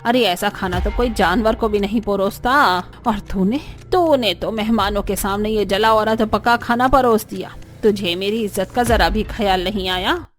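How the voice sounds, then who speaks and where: A woman voicing an arrogant, sharp-tempered older mother-in-law in a cartoon story, haranguing indignantly with rhetorical questions, over music. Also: mid-range voice, moderate pace, few pauses, voice pushed down, natural voice. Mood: furious. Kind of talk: Hindi cartoon story voice acting.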